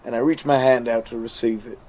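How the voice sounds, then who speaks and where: An actor playing a haunted American soldier, telling his story in a halting, stammering dramatic monologue with an American accent. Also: medium pitch, steady tone, a little mellow, natural voice, poor audio. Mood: tense, hushed. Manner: halting, stammering, repeats words. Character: haunted male American soldier recounting a deadly standoff. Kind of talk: dramatic first-person monologue.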